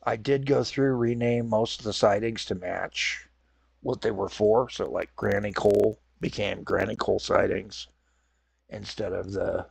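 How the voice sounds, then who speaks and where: A man talks through his strategy game while fighting a head cold, his nose stuffed and his throat raw. His voice sits low with a gravelly, husky edge. It sounds close and dry, and he rambles, backtracks and apologizes as he goes. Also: no room sound. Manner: rambling, self-correcting, apologetic. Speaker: gaming channel host. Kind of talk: casual let's-play commentary.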